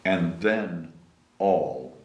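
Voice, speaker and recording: A man's voice: sits low, animated, drawn-out words, muffled recording, noisy background. A man hosting story time, reading expressively to children and chatting casually between passages. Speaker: story time host and reader. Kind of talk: expressive children's read-aloud with casual asides. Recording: echoey room.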